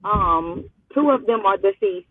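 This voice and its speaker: A woman's voice: mid-range voice, big pitch swings, a little slow, gravelly. A woman in her twenties calling in, chatting casually in a flustered, evasive, slangy way. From Louisiana. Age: 20s. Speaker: caller. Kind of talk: casual phone chat. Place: phone call from a parked car. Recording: muffled, phone-like call audio.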